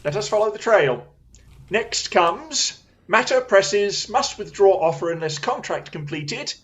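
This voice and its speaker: Performer as brilliant male Victorian detective, wry, commanding, highly varied intonation, crisp theatrical delivery, audio drama. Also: expressive, a little slow, lightly acted. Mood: thoughtful, confident.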